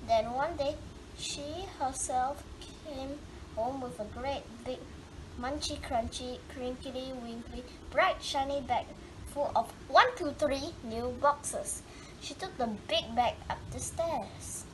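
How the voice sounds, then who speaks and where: A woman with a high voice reads a children's storybook aloud. She goes slowly and stretches words for dramatic emphasis. The home recording has clear noise behind her. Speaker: storybook reader. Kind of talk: expressive read-aloud of a children's picture book.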